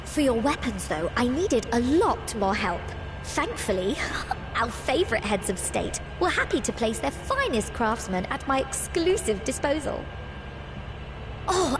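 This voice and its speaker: A cheerful, industrious video game character. Her young voice is high and swoops with animation as she chats politely and proudly. Music is clear behind her. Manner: chirpy, polite, chatty. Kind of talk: scripted video game voice acting.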